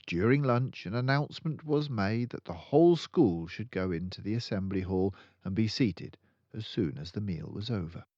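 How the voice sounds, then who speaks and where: An older man reading a children's story aloud, deliberate and dramatic in his narration. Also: lowish voice, expressive, darkish tone. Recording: slightly dull, roomy home recording.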